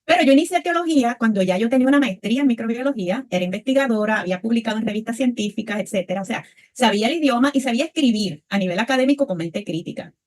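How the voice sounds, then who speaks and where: Puerto Rican woman panelist, thoughtful and steady, voice on the high side. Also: very fast. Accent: Puerto Rican Spanish. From Puerto Rico. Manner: reflective, frequent tag questions. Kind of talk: panel discussion answer.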